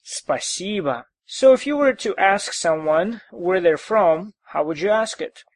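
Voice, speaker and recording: Slow, patient male language teacher. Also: sits high, lively intonation, dry recording. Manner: patient, drills phrases with repetition. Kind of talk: recorded language lesson.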